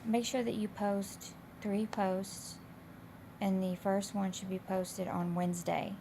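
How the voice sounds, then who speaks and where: A woman instructor with a slightly raspy, hoarse voice, explaining coursework in a casual, loosely phrased, conversational way. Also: mid-range voice, little pitch movement, slow, mellow. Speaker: college instructor. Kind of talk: informal explanation of course assignments. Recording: clear background noise.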